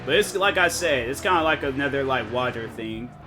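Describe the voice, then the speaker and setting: A man's voice: mid-range voice, animated, fairly quick, background noise. A young man chatting casually while streaming a racing game, rambling with many fillers and restarts. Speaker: gaming streamer. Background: game music and car engine sounds.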